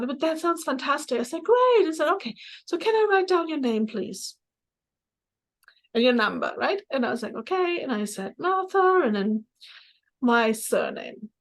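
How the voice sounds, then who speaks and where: Higher-voiced middle-aged woman. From Germany, born in Poland. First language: German. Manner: animated, acts out remembered dialogue. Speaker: interview guest, therapist. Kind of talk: casual personal storytelling.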